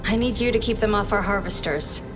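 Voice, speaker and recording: A woman's voice: medium pitch, brisk, over music, natural voice. A woman playing a self-assured head of research in a video game, briefing in a precise, businesslike, articulate way. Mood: brisk, demanding. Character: brisk, self-assured female research scientist. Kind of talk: scripted game mission briefing.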